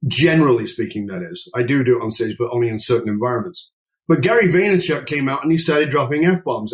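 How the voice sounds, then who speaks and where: A middle-aged British man talks as a podcast guest and speaking coach. His voice sits a little high for a man, and his delivery is emphatic and outspoken. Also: low-quality recording. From United Kingdom. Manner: emphatic, outspoken, swears casually. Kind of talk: conversational interview.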